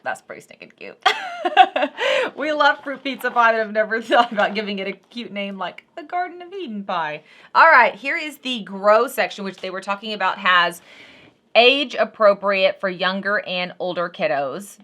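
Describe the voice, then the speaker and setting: A woman's voice: mid-range voice, big pitch swings, normal speed, pushed and bright. A woman in her thirties giving a casual, unscripted product review as a vlog host, talking to viewers in an informal, folksy way.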